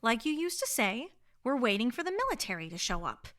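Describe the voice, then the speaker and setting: A woman's voice: on the high side, very animated, a little fast, fairly bright tone. A woman playing an outspoken, challenging survivor in a radio play.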